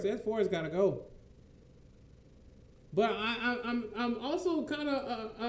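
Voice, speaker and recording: A man's voice: sits high, a little mellow, reverberant. A man giving casual reaction commentary, stammering and repeating his words for emphasis. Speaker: reaction video host.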